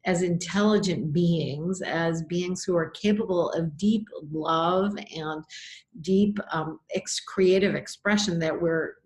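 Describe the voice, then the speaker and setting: A middle-aged woman co-hosting a podcast, musing thoughtfully in casual chat and laughing often. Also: fairly low pitch. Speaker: podcast co-host.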